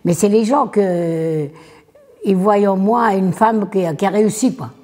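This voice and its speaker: A woman's voice: medium pitch, unhurried, warm tone, long reverb tail, faint music behind. An older woman born in Italy, interviewed for a documentary, plain-spoken and often adding "hein". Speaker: interviewee, immigrant.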